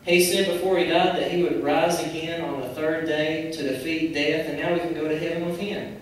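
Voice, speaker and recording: A man voicing an earnest, patient fireman puppet who gently preaches and admonishes in a church puppet show. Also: a little high, steady tone, normal speed, lightly acted. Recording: very echoey hall, distant mic, noisy.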